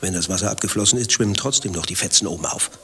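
A man's voice: low voice, racing, sharp tone, slight background noise. An actor playing an anxious, grumbling married man with a secret lover, telling his troubles in fretful clipped phrases in a satirical radio play. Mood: harried, dramatic. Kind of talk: dialogue-driven satirical radio play acting.

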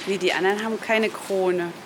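A woman's voice: mid-range voice, fairly even intonation, fairly quick, noisy background. A woman reporter interviewing people informally on the street in a polite, tentative way.

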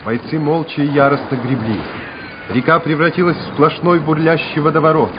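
A man's voice: a little high, steady tone, moderate pace. A man narrating a war radio play in a dramatic, scripted style. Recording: muffled, noisy old radio recording.

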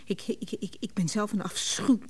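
Breathy, distraught grieving woman. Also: medium pitch, a little mellow. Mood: fragile, shivering. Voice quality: breathy, often whispering. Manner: halting, repeating words. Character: distraught grieving woman, confused, guilt-ridden and clinging. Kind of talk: radio drama acting.

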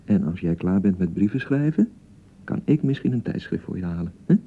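A man acting an excitable young newlywed husband in a radio drama, by turns blustering and awkwardly pleading. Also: deep voice, fairly quick, phone-quality sound. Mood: awkward, coaxing. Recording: thin, band-limited 1990 radio broadcast.

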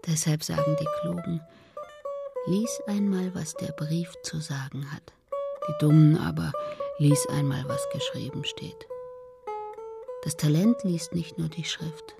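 An actress playing a gossipy, self-satisfied matchmaker in a German radio play, theatrical and drawn out, often dropping into whispers. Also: female voice, on the low side, sing-song, measured pace, creaky, heavily acted. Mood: knowing, savouring. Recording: studio radio play over plucked-string music.

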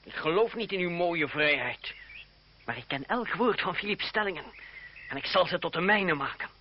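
A man's voice: sits high, lively intonation, moderate pace. A man acting in an old radio play as a bitter, defiant young man grieving his friend, with theatrical, emphatic delivery. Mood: vowing revenge. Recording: muffled, noisy old radio recording.

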